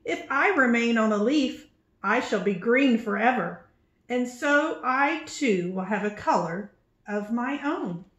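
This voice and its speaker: Woman reading as a wistful little chameleon, slow and earnest. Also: on the high side, animated, a bit bright, lo-fi sound. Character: young male chameleon, wistful, longing for his own color. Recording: roomy indoor sound.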